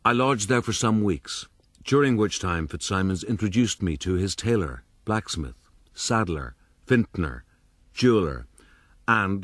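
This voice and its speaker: A man's voice: low voice, a bit bright, dry recording, studio quality. An actor playing an urbane rogue who narrates his youth formally and wryly in a radio drama. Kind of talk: scripted first-person radio drama narration.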